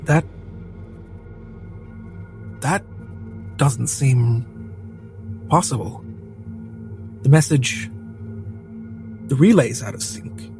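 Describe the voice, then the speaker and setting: Man as cautious male space crew member, slow halting delivery, cinematic roleplay over music. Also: medium pitch, animated, darkish tone. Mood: uneasy, cautious. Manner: hesitant, halting. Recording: music bed behind the voice.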